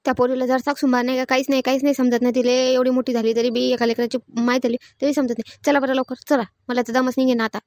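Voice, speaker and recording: Woman voicing high, rapid-talking scolding Marathi village woman. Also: level tone, a little mellow, dry recording, studio quality, close to an everyday voice. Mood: exasperated. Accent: rural Marathi, Varhadi dialect. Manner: scolding, complaining. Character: quarrelsome village women of a family, exasperated and blunt. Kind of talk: animated family comedy dialogue.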